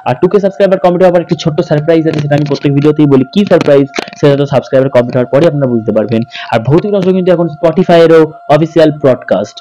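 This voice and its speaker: A man's voice: fairly high pitch, rapid-fire, mellow, low-quality recording. A man narrating in a dramatic, suspenseful ghost-story style as a channel host. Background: background music.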